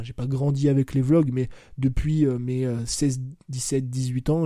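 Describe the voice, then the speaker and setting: A man's voice: mid-range voice, warm tone, dry recording, clean studio sound. A man in his twenties hosting a casual solo podcast, talking loosely with lots of fillers and self-corrections. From France. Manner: chatty, loose and informal, says "tu vois" and "bah" constantly, self-corrects. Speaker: podcast host. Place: at home.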